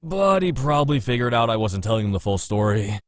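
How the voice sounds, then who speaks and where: An actor playing a gruff, stubborn man in a visual novel, blunt and cocky but caring. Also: medium pitch, very animated, brisk, no room sound, studio quality, close to an everyday voice. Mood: cocky, casual.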